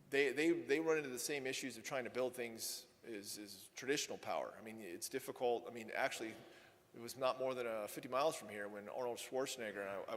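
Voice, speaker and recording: A man giving a conversational, wry conference talk on policy, often correcting himself mid-sentence. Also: medium pitch. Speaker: energy policy speaker. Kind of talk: conference presentation with Q&A. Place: conference hall. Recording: long hall reverb.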